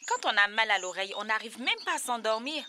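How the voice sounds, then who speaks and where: Lady doing a sensible kid cartoon voice, bright n animated, earnest, little bit of noise. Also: on the high side, fast. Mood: insistent. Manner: earnest, correcting. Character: sensible, matter-of-fact young cartoon child. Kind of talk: children's cartoon dubbing.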